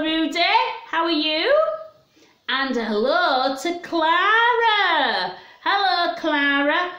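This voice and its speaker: High-voiced woman, slow children's storyteller. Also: fairly bright tone. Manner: simple, sing-song address to small children with questions. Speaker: children's story time host. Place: small room at home. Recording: roomy, echoey home recording.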